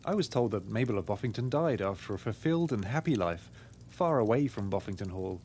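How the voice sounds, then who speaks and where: A man voicing an earnest pig detective in a game, reasoning through deductions in a formal, deliberate way. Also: lowish voice, expressive, dark tone, slight background noise. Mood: earnest, deductive. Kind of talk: scripted game dialogue.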